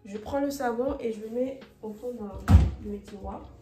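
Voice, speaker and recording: A woman's voice: fairly high pitch, moderate pace. A woman vlogging casually while she tidies her bedroom. She speaks French as her second language, chats with many fillers and restarts, and slips in English phrases. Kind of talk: casual chat while tidying. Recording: roomy and distant, with faint music.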